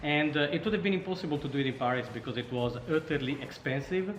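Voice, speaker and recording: A man's voice: on the high side, fast talker. A man from Sardinia with an Italian accent, casually telling his story as an interview guest. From Sardinia, Italy. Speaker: startup co-founder, interview guest. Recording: echoey room, faint background noise.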